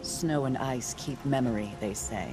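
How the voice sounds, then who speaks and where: Male actor as older game hunter, gruff, blunt, dismissive, faint music behind. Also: medium pitch, unhurried, natural voice. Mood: grim.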